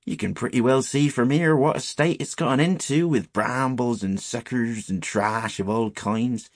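A man's voice: medium pitch, normal speed, dry recording, studio quality, voice pushed up, lightly acted. Man voicing a grave old rustic English shepherd. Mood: grave warning. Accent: rural English. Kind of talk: storybook character voice.

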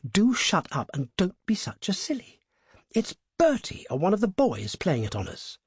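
A man voicing a bold, sharp-tongued young actress in an audiobook, speaking briskly and cheekily. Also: high-pitched, huge pitch swings, breathy edge, no echo, clean studio sound, pitched above the performer's own voice, full character voice. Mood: excited. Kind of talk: audiobook character voice.

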